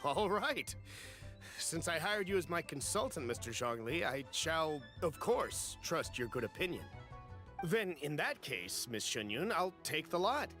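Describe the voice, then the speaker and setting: A man playing a polite, agreeable merchant customer in a video game, speaking in a deliberate, expressive way. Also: fairly high pitch, faint music behind, exaggerated voice. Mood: polite, businesslike. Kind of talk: video game character dialogue.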